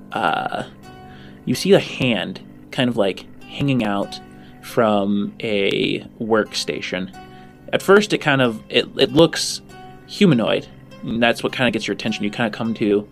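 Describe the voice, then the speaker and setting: A man working as game master, improvising narration in a halting way as he searches for words. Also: lowish voice.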